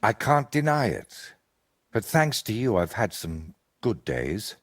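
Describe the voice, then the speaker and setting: A low, husky older man, a troubled medieval painter in a video game. His speech swings widely in pitch, earnest and haunted, weighed down by guilt and desperation. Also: big pitch swings, takes it slowly, exaggerated voice. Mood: apologetic. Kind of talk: video game dialogue acting.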